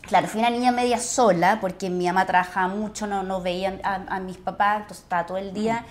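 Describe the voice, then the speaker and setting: A middle-aged woman with a Chilean accent tells personal stories conversationally, expressively and with searching pauses. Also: medium pitch, fairly even intonation. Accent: Chilean Spanish. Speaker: actress, interview guest.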